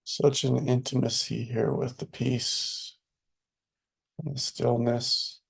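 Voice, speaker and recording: A man leading a guided meditation in a soothing, unhurried way, with spare phrases and long pauses between them. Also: mid-range voice, little pitch movement, creaky, breathy edge.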